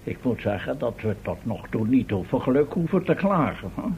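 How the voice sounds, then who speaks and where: An actor in an old radio drama playing a genteel older professor who is formal, wordy and mildly admonishing. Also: male voice, medium pitch, big pitch swings, moderate pace, muffled recording. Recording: old radio recording, faint noise.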